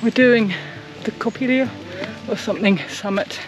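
A woman's voice: fairly high pitch, moderate pace, loud music behind the voice. A middle-aged woman with a New Zealand accent, chatting to a handheld camera while hiking a forest trail. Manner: warm, chatty, points things out to the camera. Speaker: sailing vlogger. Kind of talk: casual vlog narration while hiking. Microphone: handheld camera at arm's length.

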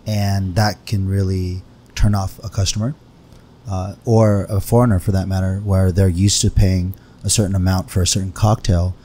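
A man's voice: little pitch movement, normal speed, mellow. A man, a bartender guest on a podcast, casually explaining things at a close microphone in a bar lounge. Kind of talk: casual explanatory interview answers. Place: wood-panelled bar lounge, indoors. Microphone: close podcast microphone on stand. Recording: low rumble and faint room noise.

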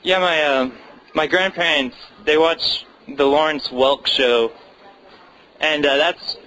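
A man interviews a musician with prepared, slightly formal questions. His voice is bright and sits a bit high, with faint room noise behind. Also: steady tone, takes it slowly, low-quality recording.

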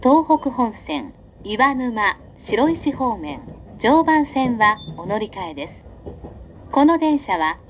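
A woman's voice: a little high, big pitch swings, takes it slowly. A woman reading scripted onboard train announcements in a polite, formal, carefully enunciated way. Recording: dull lo-fi recording with train noise. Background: train ambience.

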